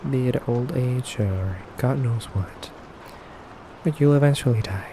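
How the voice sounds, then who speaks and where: Guy doing a pretty effeminate vampire captor for asmr, real slow and soft, polite but menacing, noisy background. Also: mid-range voice, close to an everyday voice. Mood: calm, solemn. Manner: soft-spoken, slow, deliberate, pausing often. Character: beautiful, effeminate male vampire captor, courteous yet menacing. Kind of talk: ASMR roleplay.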